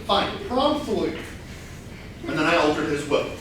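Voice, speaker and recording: A man's voice: a little high, sing-song, unhurried. Man playing an aggrieved schemer in an echoey hall. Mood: aggrieved, confessing. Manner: hesitant, indignant confessing. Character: aggrieved, scheming overlooked party crasher in comic whodunit. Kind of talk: staged comedy play reading. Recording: echoey hall, far mic, faint noise.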